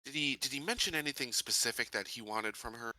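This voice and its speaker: A man's voice: medium pitch, fast, pushed and bright, no room sound, poor audio. A man playing a tabletop role-playing game, moving casually between table talk and in-character speech.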